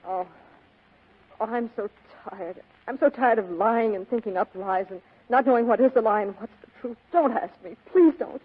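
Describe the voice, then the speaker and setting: A woman's voice: a little high, moderate pace, breathy edge. A woman playing an evasive, pleading femme fatale and practiced liar in a 1940s radio drama. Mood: weary, pleading. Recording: tinny muffled 1940s radio broadcast.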